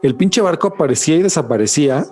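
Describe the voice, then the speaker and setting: A man's voice: fairly high pitch, expressive, fast, darkish tone, soft background music. Slangy Mexican man chatting casually. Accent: Mexican Spanish. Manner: slangy, swears a lot, says "güey" constantly. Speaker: podcast host. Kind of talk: casual chat.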